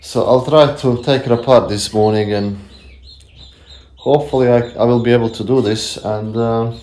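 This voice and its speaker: A man's voice: mid-range voice, steady tone, moderate pace, darkish tone. A man in his thirties vlogging from a stone workshop shed, chatting casually and self-deprecatingly with many fillers while he works, on a phone held at arm's length. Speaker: homesteading vlogger. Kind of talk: casual talk to camera while working. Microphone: handheld phone camera at arm's length. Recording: echoey shed with faint background noise.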